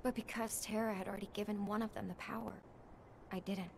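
A woman voicing a solemn, gentle young warrior in a video game cutscene, speaking in a soft, measured way. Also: mid-range voice, flat delivery, creaky, a little hiss or hum, close to an everyday voice. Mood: wistful.

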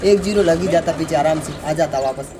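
A man's voice: on the high side, fairly quick, noisy background. A man, answering casually in an interview as a horse trainer. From Rajasthan, India. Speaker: horse trainer and stud farm owner, interviewee. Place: outdoors in a farm yard by a brick wall. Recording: outdoor recording with birds and background chatter.

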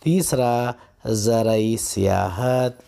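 A man with an Indian accent, a teacher reading textbook questions and answers aloud in a deliberate, instructional way with pauses between points. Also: medium pitch, gravelly, mellow.

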